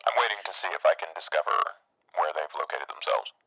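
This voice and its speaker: A man playing a big, socially awkward vampire in an improvised roleplay, speaking formally and earnestly with halting phrasing. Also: very deep voice, bright, forceful tone, no room sound, exaggerated voice, low-quality recording. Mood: apologetic. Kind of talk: improvised tabletop roleplay, phone conversation.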